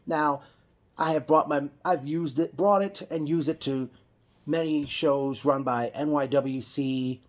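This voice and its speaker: A man's voice: fairly high pitch, fairly even intonation, a little slow. A man giving a casual, rambling reaction vlog, often correcting himself mid-sentence. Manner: rambling, with frequent restarts and self-corrections. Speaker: wrestling fan vlogger.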